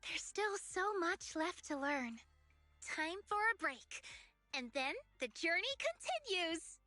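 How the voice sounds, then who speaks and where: An earnest, cheerful young woman explorer from an anime game. Her voice is high and bright, and she speaks unhurriedly, with a dreamy, ambitious streak. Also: very animated, slow pace, no room sound, faint music behind, exaggerated voice. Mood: earnest, hopeful.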